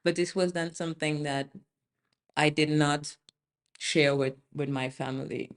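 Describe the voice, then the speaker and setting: A woman's voice: deep voice, fairly even intonation, a little slow. A woman from Austria, a podcast guest, talking in a thoughtful way with many ums as she searches for words. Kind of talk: casual interview conversation.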